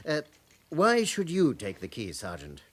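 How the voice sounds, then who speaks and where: Formal male doctor, animated pitch. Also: exaggerated voice. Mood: guarded. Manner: formal, precise, measured. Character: formal, careful male hospital casualty doctor. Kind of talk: radio drama acting.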